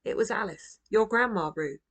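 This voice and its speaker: A tender old grandad from a storybook, voiced by a woman reader, sharing his memories. He speaks quietly and in measured phrases, with a wistful gentleness. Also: medium pitch, pitched above the performer's own voice. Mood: amused, reminiscing. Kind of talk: children's storybook reading.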